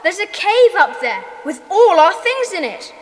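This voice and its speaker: An actress playing a decisive young boy who leads his gang in a children's audio drama, brisk and commanding. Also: extremely high pitch, sing-song, heavily acted. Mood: urgent. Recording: long hall-like reverb.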